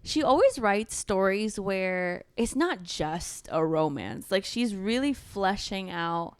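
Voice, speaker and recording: A woman speaks as a podcast co-host in a relaxed book-club style of chat. Her pitch is average for a woman, and she thinks aloud informally as she goes. The recording is dry and free of room echo. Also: takes it slowly, clear, resonant tone.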